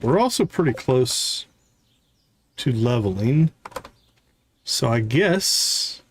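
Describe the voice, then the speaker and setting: A man's voice: mid-range voice, a little hiss or hum. A man doing casual live gameplay commentary, thinking aloud to himself and his viewers as a streamer. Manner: musing, talks to viewers and self. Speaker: video game livestreamer.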